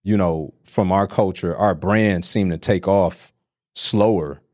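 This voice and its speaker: A man's voice: sits low, slow, no room sound, clean studio sound. A man from Detroit, a real estate investor, talking casually as a podcast guest and often checking in with "right?". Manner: relaxed, conversational, repeats "right?". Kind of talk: casual interview conversation.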